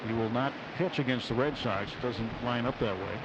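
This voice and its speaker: A man does live baseball play-by-play in a mid-pitched voice. He describes the action in measured, short phrases with pauses between them. The radio sound is dull, with heavy outdoor stadium noise behind him. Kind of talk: live radio sports commentary. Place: outdoor ballpark broadcast booth. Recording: dull radio broadcast, loud stadium ambience. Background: loud outdoor ballpark ambience.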